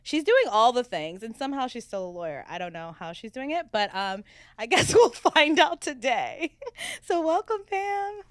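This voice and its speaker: A woman's voice: high-pitched, big pitch swings, normal speed. A woman hosting a podcast in casual chat, bubbly and gushing, laughing often. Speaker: podcast host.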